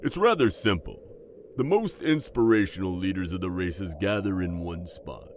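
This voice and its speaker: A man's voice: deep voice, expressive, normal speed, slight background noise. A man playing a jovial, battle-seasoned dwarf king in a fantasy audio drama, speaking in a genial, regal manner. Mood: genial, explaining. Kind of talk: fantasy audio roleplay acting.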